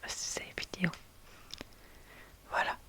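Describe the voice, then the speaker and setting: An ASMR creator whispering casual chat in a hesitant, halting way, often searching for words. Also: sharp tone.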